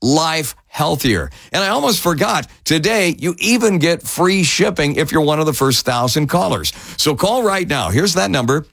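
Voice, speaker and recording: A man hosting a radio infomercial, delivering an emphatic scripted sales pitch. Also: vocal fry, fairly bright tone, dry recording, clean studio sound.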